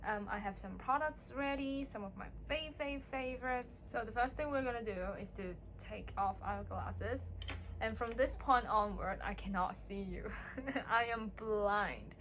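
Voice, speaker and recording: A woman's voice: on the high side, measured pace, fairly bright tone, a little hiss or hum. A young woman whose first language is Cantonese, chatting casually and self-deprecatingly at home while doing a skincare routine for her vlog. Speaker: beauty vlogger. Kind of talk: casual get-ready-with-me chat. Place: home room.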